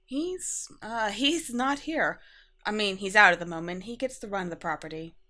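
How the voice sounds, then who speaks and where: A woman playing a flustered but caring young vampire in a conversational ASMR roleplay, halting and defensive with sarcastic asides. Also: mid-range voice, animated, sharp tone.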